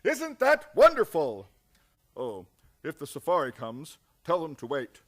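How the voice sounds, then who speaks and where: A man's voice: fairly high pitch, huge pitch swings, unhurried, full character voice. A man acting a cheerful, deluded fellow who believes he is the president, hearty and grand, in a radio play comedy. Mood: joyful.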